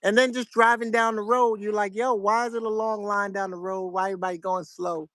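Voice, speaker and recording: A middle-aged man from New York with a New York accent, giving casual, soft-spoken advice to his viewers in a fairly flat, monotone way. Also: high-pitched, a little fast, no echo, clean studio sound. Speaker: vlogger.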